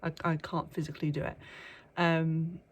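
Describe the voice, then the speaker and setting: Young woman, vlogger, a touch low-pitched, nasal from a cold, chatty and hushed at times. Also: little pitch movement, moderate pace. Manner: chatty, with frequent ums, sometimes hushed. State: bunged up with a cold. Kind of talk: casual chat to camera. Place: workplace indoors, early morning.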